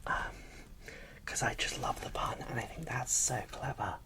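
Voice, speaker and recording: A British ASMR creator giving a casual, chatty unboxing commentary in a soft whisper. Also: medium pitch, normal speed.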